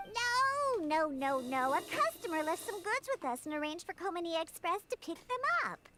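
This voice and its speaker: A woman's voice: very high voice, very animated, moderate pace, over music. A woman voicing a young, feisty, curious cat girl in an anime game, perky and a little bossy.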